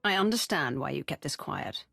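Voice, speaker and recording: An actress playing an assertive Imperial commander in a video game, direct, probing and expressive. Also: female voice, low-pitched, moderate pace, vocal fry, husky, no echo, studio quality, exaggerated voice. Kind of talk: dramatic video game voice acting.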